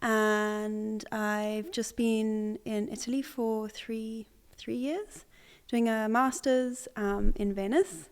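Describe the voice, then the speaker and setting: A woman's voice: fairly high pitch, fairly even intonation, slow pace, few pauses, smooth. Hesitant young woman from Zimbabwe. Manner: hesitant, many fillers and restarts. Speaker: artist. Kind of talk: conversational interview.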